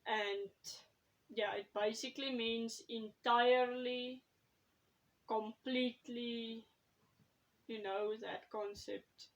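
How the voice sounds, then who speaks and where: Slow-talking woman. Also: on the high side, steady tone, highs cut off. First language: Afrikaans. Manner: hesitant, thinking aloud with fillers; consults her notes while talking. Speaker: writer and vlogger. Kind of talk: casual talk to camera.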